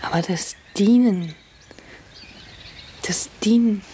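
A woman's voice: medium pitch, slow, dark tone, slight background noise, lightly acted. An actress playing a meek, earnest young country girl in a German radio drama. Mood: hushed.

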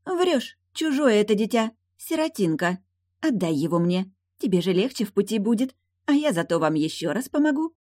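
A woman's voice: medium pitch, big pitch swings, normal speed, a little mellow, no echo, studio quality, voice pushed up. A woman voicing a young female mermaid in an audiobook, teasing, carefree, sly and haughty, with brash exclamations. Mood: sly, wheedling.

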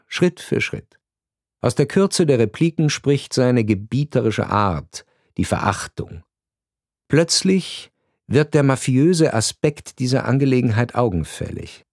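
A male narrator with a medium-pitched voice delivers scripted literary prose for radio. His phrasing is deliberate and measured, like an essay read aloud. The studio sound is dry, with next to no room on the voice. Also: a little mellow, no echo.

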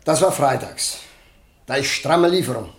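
A man's voice: medium pitch, moderate pace, lightly acted. An actor playing a plain-spoken, chatty milk-truck driver in his thirties in a German radio crime drama. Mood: eager, matter-of-fact. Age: 30s. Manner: colloquial, chatty, down-to-earth.

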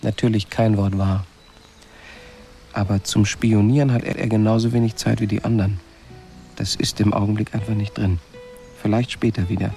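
An actor playing a chatty, easygoing, wry owner of a space colony, rambling colloquially in a radio play. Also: male voice, fairly low pitch, steady tone, moderate pace, gravelly, pauses often, warm tone, soft background music. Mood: amused, chatty. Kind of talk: radio play acting, conversational monologue.

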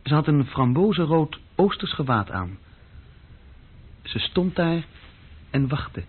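A thin, phone-like old radio recording of a young clerk with a slightly husky voice. He recounts things in a restless, self-reproaching way, hesitating as he talks. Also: on the high side, very animated, takes it slowly, heavily acted. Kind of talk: radio play dialogue and recollection.

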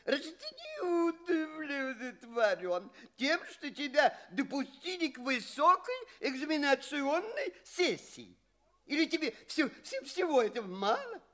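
An actor playing a pompous, condescending old alien professor in an audio play, with a drawn-out, theatrical delivery and repeated phrases. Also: male voice, very high-pitched, very animated, fairly bright tone, full character voice. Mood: indignant, mocking. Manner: drawn-out, chuckling, repeats himself.

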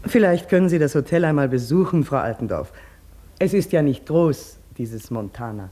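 Mellow, husky woman doctor. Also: sits low, expressive, moderate pace. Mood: matter-of-fact, kindly. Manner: matter-of-fact, reassuring. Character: brisk, reassuring unmarried female doctor. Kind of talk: German radio play acting.

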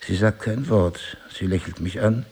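A husband who has lost his memory, in a radio play. His low voice is deeply husky and gravelly. He speaks articulately, with dry irony and a searching, disoriented air. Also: moderate pace, darkish tone. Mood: bewildered. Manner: sardonic, musing, articulate. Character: wry amnesiac husband, a crime novelist, disoriented. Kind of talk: German radio play acting.

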